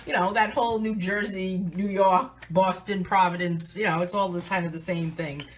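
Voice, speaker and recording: A woman's voice: medium pitch, steady tone, normal speed, poor audio. A woman with a Southern New England accent, chatting casually as she hosts a live coloring demo. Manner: chatty, upbeat, restarts often. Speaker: artist and livestream host.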